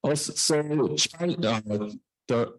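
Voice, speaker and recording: Halting man giving a technical talk, dry sound. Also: a little high, no room sound, low-quality recording. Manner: halting, hesitant delivery with repeated phrases. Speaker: researcher presenting at a conference. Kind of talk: technical scientific presentation.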